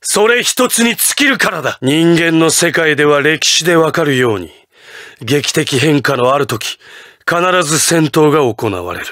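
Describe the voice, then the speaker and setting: An actor playing an imperious, ruthless patriotic president in a Japanese anime fighting game, with grandiose, theatrical declarations. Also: male voice, a little high, animated, normal speed, fairly bright tone, no room sound, clean studio sound. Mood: earnest, resolute.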